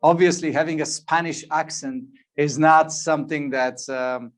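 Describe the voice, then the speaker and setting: Guy interviewing someone, kinda high voice, lots of ums and restarts. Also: fairly even intonation, moderate pace. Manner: hesitant, many fillers and restarts. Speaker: interviewer, host. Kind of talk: virtual interview.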